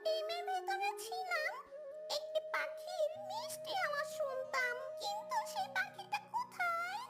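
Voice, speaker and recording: Squeaky, timid baby bird. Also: female voice, lively intonation, a little slow, over music. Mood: bewildered, lonely. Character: tiny lost baby bird, timid and lonely. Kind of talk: children's cartoon dubbing.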